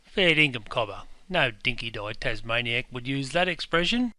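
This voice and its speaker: Man voicing a pedantic Aussie pub owner, Australian accent, scolding banter, bright ringing tone, dry close sound. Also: mid-range voice, sing-song, normal speed, dry recording. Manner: broad ocker slang, scolding banter. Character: gruff, pedantic Aussie publican, quick to correct. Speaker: publican character. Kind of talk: scripted comedy dialogue.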